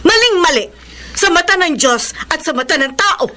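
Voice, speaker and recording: A woman's voice: very high-pitched, lively intonation, moderate pace, sharp tone, slight background noise, heavily acted. An actress playing a devout, disapproving middle-aged Filipino mother in a radio drama, speaking in a stern, moralizing way. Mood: stern, pleading. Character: devout, disapproving mother of a grown married daughter.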